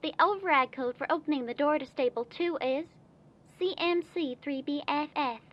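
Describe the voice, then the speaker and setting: A woman's voice: high voice, moderate pace. A woman voicing a sweet female pony reading out a message on an old radio-style recording in an audio drama. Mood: calm, informative. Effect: old sound-file playback filter. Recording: noisy, dull radio playback with clicks.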